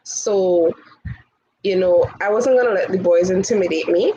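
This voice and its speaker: Woman, twenties, Tobagonian accent, outspoken, husky, muffled lo-fi sound. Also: lowish voice, moderate pace, warm tone. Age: 20s. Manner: outspoken, laughs often. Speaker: interview guest, hall chair. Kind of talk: casual interview.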